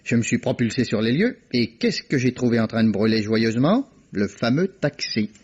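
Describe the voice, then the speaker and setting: An actor playing a shrewd, self-assured police inspector in a vintage French radio drama, teasing and chuckling. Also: male voice, medium pitch, sing-song, normal speed, a little mellow, slightly rough. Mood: confident. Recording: dull old radio archive recording.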